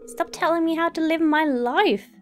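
A woman's voice: very high-pitched, moderate pace, soft background music, pitched above the performer's own voice. A woman reading out a defiant, fed-up young daughter from a video game. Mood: indignant.

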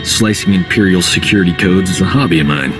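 A man's voice: sits low, fast, lightly acted. A man acting a cocky, flirtatious field medic in a video game, with a breezy, playful manner. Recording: loud music behind the voice.

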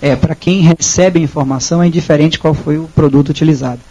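A man's voice: fairly high pitch, steady tone, fast, warm tone, dull audio, slight background noise. A man in his thirties with a Brazilian Portuguese accent, presenting a technical talk in a small auditorium with a handheld microphone, hesitant and explanatory with frequent restarts. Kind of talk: presentation and audience Q&A.

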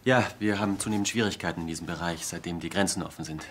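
A deep-voiced polite foreign inspector. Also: male voice, fast talker, fairly bright tone, slight background noise. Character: polite visiting Hungarian male police inspector. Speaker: police inspector from Budapest. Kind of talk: TV crime drama acting. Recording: TV drama soundtrack with music.

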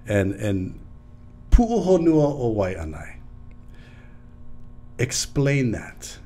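A man's voice: mid-range voice, very animated, drawn-out words, dark tone. A man, hosting a podcast interview in a small studio at a mic, talking animatedly with many restarts. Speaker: podcast host. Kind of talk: casual interview chat. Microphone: studio mic with headphones.

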